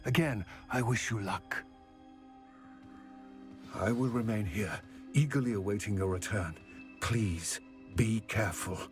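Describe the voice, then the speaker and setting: A man playing an earnest, weary older goblin ally in a game, speaking in a husky, measured and formal way. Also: on the low side, over music, natural voice. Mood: grave, measured. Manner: measured, formal, grave phrasing. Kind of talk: scripted game dialogue.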